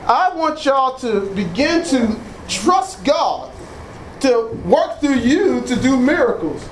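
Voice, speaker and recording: A man preaching a sermon with a booming voice, leading call-and-response and exclaiming praise to God. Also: sing-song, slow. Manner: exclaims praise phrases, leads the congregation in repetition. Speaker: pastor. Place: church hall. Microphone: mic switched off, picked up from a distance. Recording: echoey room from far off, faint noise.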